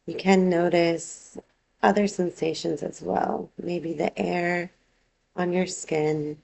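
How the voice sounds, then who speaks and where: A woman leads a guided relaxation as a yoga nidra teacher. Her voice sits fairly low and carries a strong creaky fry. She speaks very slowly and calmly, giving gentle instructions in unhurried phrases. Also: low-quality recording.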